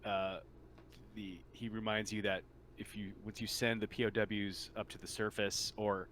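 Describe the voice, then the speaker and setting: A man running a tabletop role-playing game, improvising scene narration in a halting, informal way. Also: fairly low pitch, little pitch movement, a bit bright. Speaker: game master. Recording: noisy room with typing.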